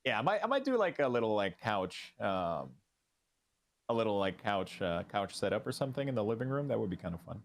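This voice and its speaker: A man with a French Canadian accent, French his first language, chatting casually as a streamer guest in an interview, relaxed and halting with many restarts. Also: lowish voice.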